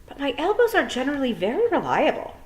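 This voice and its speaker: A woman voicing a stern travelling witch in a storybook reading, speaking in a brisk, matter-of-fact way. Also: sits high, wildly swooping pitch, pitched above the performer's own voice, poor audio. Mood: insistent.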